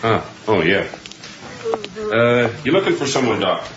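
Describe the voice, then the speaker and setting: A man's voice: mid-range voice, sing-song, unhurried, noisy background. Distant, echoey, puzzled hospital guy. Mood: casual. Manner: casual, hesitant. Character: puzzled, easygoing male hospital night worker. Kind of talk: 1980s radio drama acting. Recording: dull, echoey, distant 1980s radio broadcast, music.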